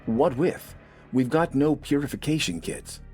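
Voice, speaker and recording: A man's voice: fairly high pitch, a little slow, a little mellow, soft background music, voice pushed up, close to an everyday voice. A man voicing an earnest, uneasy young soldier in an audio story, hesitant and worried. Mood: alarmed.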